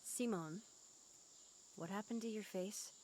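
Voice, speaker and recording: A woman voicing a teasing but caring high-school girl in an amateur visual novel fandub, with an expressive, probing manner. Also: a little slow, slight background noise, close to an everyday voice. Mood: concerned.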